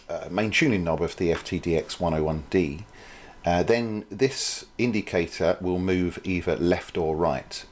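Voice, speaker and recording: A man's voice: sits low, a little hiss or hum. A man presenting an informal product demonstration, explaining things in a hesitant, thinking-aloud way.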